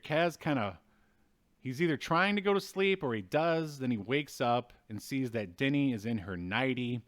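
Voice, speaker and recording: A man in his thirties co-hosting a movie review show, recapping a film in a casual, wry, halting way. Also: medium pitch. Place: indoors at home, video call.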